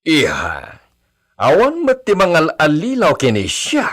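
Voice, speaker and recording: A man playing an elderly, indulgent landowner father in a Filipino radio drama, speaking with a Filipino accent and measured fatherly delivery sprinkled with Spanish. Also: on the high side, huge pitch swings, a bit bright, full character voice. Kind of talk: Ilocano radio drama acting.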